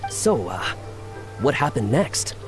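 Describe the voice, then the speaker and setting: Blunt young male game companion: cheeky, curious, extremely animated delivery. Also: medium pitch, slow pace. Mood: teasing. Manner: casual, cheeky. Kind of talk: video game voice acting. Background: background music.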